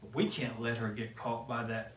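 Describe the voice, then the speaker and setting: A man's voice: medium pitch, flat delivery, moderate pace, a little hiss or hum, close to an everyday voice. A man voicing a fussy male dwarf leader who trips over his words. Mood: flustered. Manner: stammering, flustered. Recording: roomy, mic at a distance.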